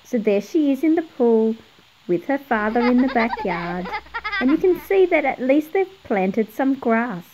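A woman's voice: a little high, expressive, measured pace, noisy background. A middle-aged woman narrating a picture book for children, explaining things and putting questions to young viewers. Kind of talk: read-aloud narration for children.

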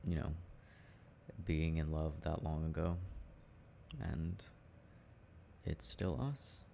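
A young man with a very low, creaky voice speaks in a casual, affectionate boyfriend-roleplay style. His tone is mellow and intimate, chatty and prone to chuckling. There is faint noise behind him. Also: steady tone, slow. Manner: soft affectionate chat with frequent chuckles. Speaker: boyfriend roleplay audio performer.

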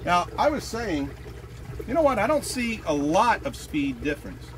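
A man in his sixties casually demonstrating boat motors, talking in a lively, rambling way and correcting himself, recorded outdoors on a small boat by a mounted camera. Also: sits high, normal speed. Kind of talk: casual product demo. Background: motor and water noise.

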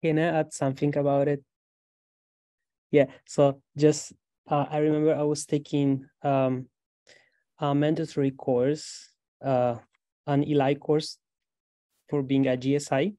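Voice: medium pitch, little pitch movement, unhurried, long pauses, warm tone, no room sound. A graduate student from Italy with an Italian accent, earnestly telling a story on an advice panel. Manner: earnest, hesitant fillers.

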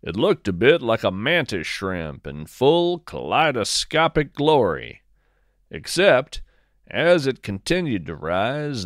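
A man tells a scripted story in the first person, taking his time over each phrase. His voice sits slightly low and swings expressively up and down. The delivery is measured and descriptive, like a fiction podcast host narrating. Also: dry recording, clean studio sound.

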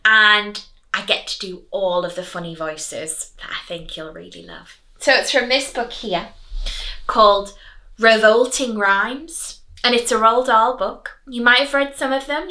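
A young woman teacher reading and chatting expressively to children, clearly enunciated. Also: mid-range voice, a little slow, pushed and bright. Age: young adult. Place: small living room, on a sofa.

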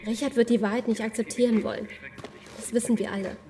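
Woman as a determined, higher-voiced captive. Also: level tone, fast, mellow, slight background noise, natural voice. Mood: urgent. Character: determined, pragmatic captive woman hatching escape. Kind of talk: German audio drama acting.

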